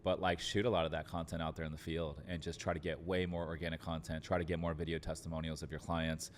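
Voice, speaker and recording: A man's voice: rumbling low, flat delivery, fast talker, slight background noise. A man giving conversational business advice as an entrepreneur guest on a podcast, informal and sprinkled with filler words. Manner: informal, frequent fillers like "like" and "literally".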